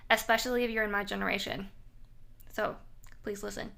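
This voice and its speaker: A woman's voice: mid-range voice, little pitch movement, fairly quick, gravelly, sharp tone. A young woman from Thailand, a native Thai speaker, talking casually in English to camera with plenty of fillers. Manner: chatty, frequent fillers and laughs. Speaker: vlogger.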